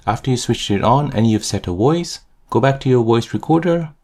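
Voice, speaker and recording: A man's voice: mid-range voice, expressive, fairly quick, a little mellow. A man hosting a tutorial, narrating scripted how-to instructions step by step. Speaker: tutorial host.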